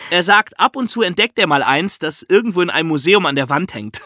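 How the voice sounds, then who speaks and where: A woman's voice: on the low side, lively intonation, fairly quick, pushed and bright, no room sound, clean studio sound. A woman co-hosting a podcast in a casual discussion style. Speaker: podcast co-host.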